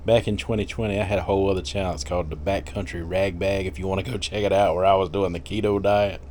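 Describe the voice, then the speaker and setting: A man's voice: low voice, steady tone, fast talker, a little mellow, slight background noise. A man in his fifties chatting casually in a rambling vlog, full of fillers and little laughs. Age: 50s. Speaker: vlogger.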